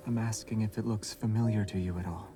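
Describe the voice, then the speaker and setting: A man voicing a calm, mysterious young monster hunter in a video game, soft-spoken, terse and measured. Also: lowish voice, over music, natural voice. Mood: calm, guarded.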